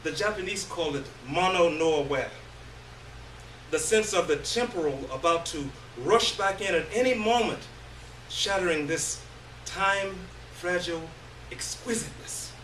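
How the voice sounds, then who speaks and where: Slow, high-voiced man reading dramatically, roomy sound. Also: animated, a bit bright. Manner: theatrical, halting, with long pauses. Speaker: reader of a short story. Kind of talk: dramatic first-person literary reading on stage. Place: live indoor stage reading. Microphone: distant microphone. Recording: roomy, distant stage recording, faint noise.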